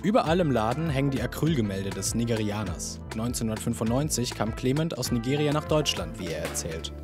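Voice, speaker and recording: A man narrating a TV feature with plenty of movement in his voice, telling the story in a lively way. Music plays clearly under his voice-over. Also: medium pitch, fairly quick. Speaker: reporter. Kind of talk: scripted TV report narration. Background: music bed.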